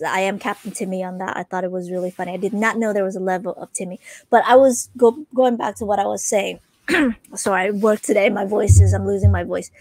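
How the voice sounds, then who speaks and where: A woman in her thirties hosting a casual livestream, chatting in a rambling, animated way and repeating herself for emphasis. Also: medium pitch, dry recording. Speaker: podcast host. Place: small room full of collectibles, indoors. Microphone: close desk microphone.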